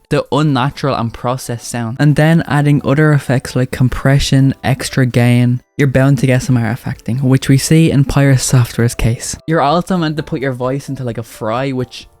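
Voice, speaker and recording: A man giving casual video commentary as a YouTube host, with animated jumps in pitch for emphasis.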